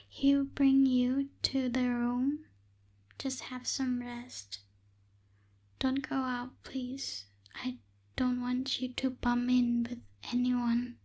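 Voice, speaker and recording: Woman acting as aloof tsundere witch, high soft voice, very slow, ASMR roleplay. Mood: soft, dismissive. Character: aloof tsundere night witch, lazy, businesslike young woman. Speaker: voice actor in audio roleplay.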